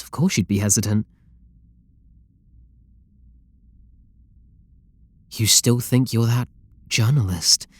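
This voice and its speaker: A man's voice: lowish voice, no room sound, lightly acted. A man with a British accent playing an obsessive, possessive, lovestruck game developer in an intimate roleplay audio drama, doting and controlling. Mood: possessive, devoted. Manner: doting, intimate, pleading endearments.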